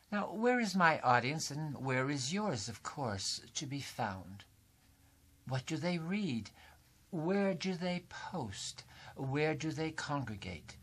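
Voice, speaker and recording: Older man teaching, with animated pitch. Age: 70s. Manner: deliberate, formal phrasing with rhetorical questions. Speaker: writing coach and teacher, host. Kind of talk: scripted instructional masterclass presentation.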